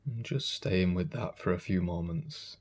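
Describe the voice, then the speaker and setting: A man speaks as a meditation guide in a low, husky voice. His delivery is calm and gentle, with unhurried instructions and space left between phrases.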